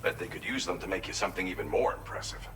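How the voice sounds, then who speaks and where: Bright-voiced, low-pitched helpful scientist. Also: male voice, steady tone, fast, slight background noise. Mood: informative. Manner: articulate, technical yet folksy. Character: helpful, earnest male scientist at a remote shelter. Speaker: quest-giving researcher character in a video game. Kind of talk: scripted game dialogue, explanatory.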